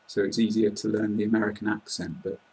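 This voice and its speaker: A man's voice: fairly low pitch, level tone, fast, a little mellow, a little hiss or hum, rough recording. A British man in his twenties hosting an informal interview, polite and explanatory, recorded on a laptop webcam in a small room. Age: 20s. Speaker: host, English teacher. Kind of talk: casual interview chat.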